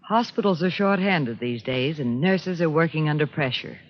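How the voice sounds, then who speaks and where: Higher-pitched man, animated testimonial read. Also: normal speed. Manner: rehearsed, earnest endorsement. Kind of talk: scripted 1950s radio testimonial read. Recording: dull old radio recording.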